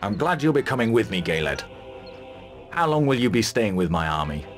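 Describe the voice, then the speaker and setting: An actor playing a resolute, grimly determined mercenary army commander in a video game, measured and authoritative. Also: male voice, lowish voice, very animated. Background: music.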